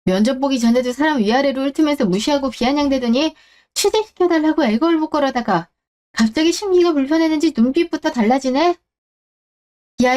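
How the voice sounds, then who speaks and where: A woman's voice: high-pitched, darkish tone, voice pushed up. A woman voicing a divorced company boss in a radio drama, scornful and scolding.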